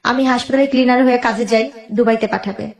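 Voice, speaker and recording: A woman's voice: a little high, fairly even intonation, fast talker, a little mellow, roomy sound. A woman with a Bangladeshi accent tells her own life story as a guest, emotionally, quoting both sides of conversations as she goes.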